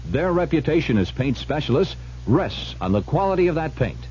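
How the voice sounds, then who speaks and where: A man announcing a scripted retail radio commercial as an itemised sales pitch. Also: very animated, fairly quick, darkish tone. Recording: muffled vintage radio with faint hum.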